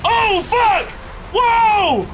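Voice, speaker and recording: A man hosting a reaction show, commenting casually and excitedly, full of exclamations and swearing. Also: very high voice, drawn-out words, background noise.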